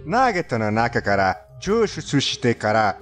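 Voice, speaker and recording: Male narrator, non-native accent, lively and melodic intonation, formal scripted storytelling with stilted phrasing, music underneath. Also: medium pitch, measured pace. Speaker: narrator of a fandubbed countdown video.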